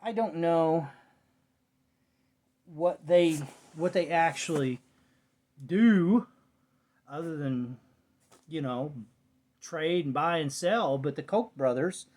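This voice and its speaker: A man's voice: a little high, darkish tone. A middle-aged American man chatting casually and unscripted in a rambling, folksy, muttering way, as a vlogger. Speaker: vlogger showing a cassette collection. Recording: clear background noise, clicks, home recording.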